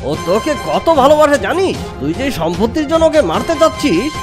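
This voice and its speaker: A woman's voice: high-pitched, big pitch swings, fairly quick, music in the background. A woman voicing a stern, moralising fox father in a children's cartoon, with emphatic delivery. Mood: outraged, scolding.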